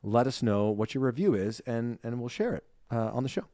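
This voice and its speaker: A young man co-hosting a podcast, reading listener reviews in a lively, self-deprecating chat and laughing easily. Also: mid-range voice, a little fast, no echo, studio quality. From Ireland. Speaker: podcast co-host.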